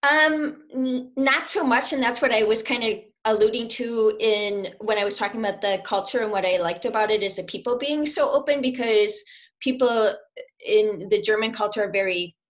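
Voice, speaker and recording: A woman's voice: fairly high pitch, moderate pace. A chatty woman in her forties talks over a laptop webcam from a small room at home. She laughs often and restarts her sentences as she goes. The call audio comes through dull and lo-fi. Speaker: language student. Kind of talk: casual interview over video call. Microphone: laptop webcam on video call.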